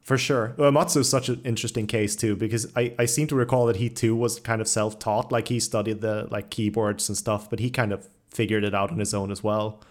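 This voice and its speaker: A man's voice: on the low side, steady tone, a little fast, hardly any pauses. A man hosting a podcast interview in a casual, enthusiastic chat style, with an eager, gushing manner. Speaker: podcast host interviewing a composer.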